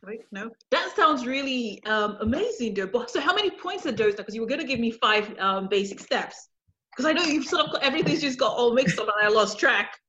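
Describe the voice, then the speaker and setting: A woman hosting a podcast interview, chatting casually and pausing on filler words. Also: fairly high pitch, expressive, bright, forceful tone, slight background noise. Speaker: podcast host.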